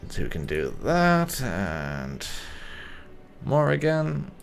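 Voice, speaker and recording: A man's voice: medium pitch, huge pitch swings, very slow, gravelly. A man giving casual, dry let's play commentary on a video game, talking to the characters as he plays.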